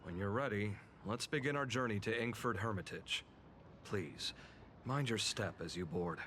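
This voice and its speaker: An actor playing a courteous, earnest male guide in an anime game, formal and expressive, easily flustered. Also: lowish voice, moderate pace, slight background noise, natural voice. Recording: game music behind the voice.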